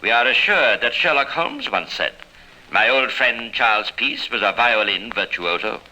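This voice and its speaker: A man narrating a radio crime drama in a formal, dramatic, measured 1950s style. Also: medium pitch, animated, pushed and bright. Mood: grave, dramatic. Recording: faintly noisy old radio recording.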